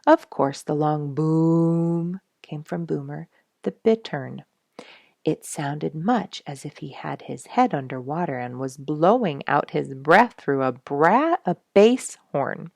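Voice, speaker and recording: A woman reading a children's story aloud as a storyteller, with expressive, dramatic emphasis and some hushed phrasing. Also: deep voice, slow pace, vocal fry, dry recording, clean studio sound.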